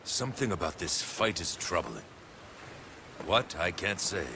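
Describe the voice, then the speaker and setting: An actor playing a proud, ambitious warlord of ancient China in a game cutscene, speaking gravely in measured, oratorical phrases. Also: male voice, low voice, big pitch swings, noisy background, exaggerated voice. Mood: pensive, stern. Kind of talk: dramatic video game cutscene acting.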